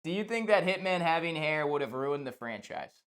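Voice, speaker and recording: A man's voice: a little high, animated, fairly quick, fairly bright tone, smooth. A man hosting a podcast, asking questions and chatting casually with his guest. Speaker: podcast host, interviewer. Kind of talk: casual interview chat.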